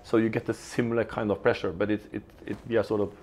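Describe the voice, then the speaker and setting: A man's voice: fairly low pitch, flat delivery, fast, darkish tone, slight background noise. A man in his fifties with a Norwegian accent, giving business interview answers and often restarting and repeating words. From Norway. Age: 50s. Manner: hesitant, repeats words mid-sentence. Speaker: retail executive guest. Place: company studio in an office.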